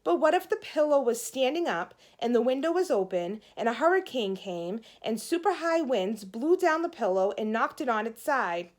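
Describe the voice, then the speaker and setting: A woman's voice: fairly high pitch, animated, normal speed, hardly any pauses, close to an everyday voice. A woman voicing a curious little brother in a children's storybook, eager and full of questions. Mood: curious, excited. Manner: eager, questioning, wide-eyed. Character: curious, pestering little brother, a young boy. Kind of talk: children's storybook read-aloud.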